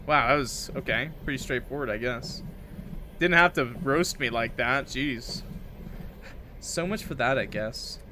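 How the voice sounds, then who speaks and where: A man's voice: medium pitch, unhurried, background noise. A man hosting a visual novel let's play, reading aloud and breaking off for playful, theatrical asides. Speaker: gaming video host.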